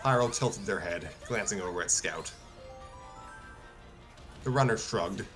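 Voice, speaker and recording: A man's voice: deep voice, a little slow. A man narrating a scripted story as host of a live stream reading. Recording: music behind the voice.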